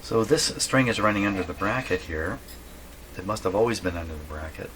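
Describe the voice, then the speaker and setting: Man, deep voice, casual repair narration, halting thinking-aloud delivery, noticeably noisy home workshop recording. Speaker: host of a radio repair channel. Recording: clearly noisy room recording.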